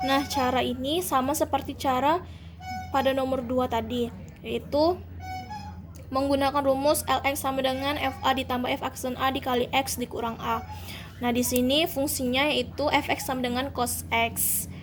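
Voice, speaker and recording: High-voiced young woman explaining math steps. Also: steady tone, moderate pace, music in the background. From Indonesia. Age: young adult. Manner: methodical, step-by-step, self-correcting. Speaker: university student explaining homework. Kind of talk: calculus walkthrough.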